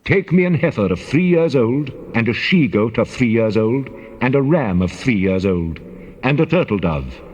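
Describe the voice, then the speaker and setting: A man voicing God as a solemn, commanding divine figure, declaiming deliberately in a dramatized scripture reading. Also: medium pitch, lively intonation, a little mellow, husky, muffled recording. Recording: long cavernous reverb.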